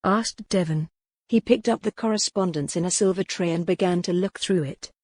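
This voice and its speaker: Woman, audiobook narrator, slightly deep voice, scripted dramatic storytelling, historical romance, dry sound. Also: moderate pace, darkish tone, no echo.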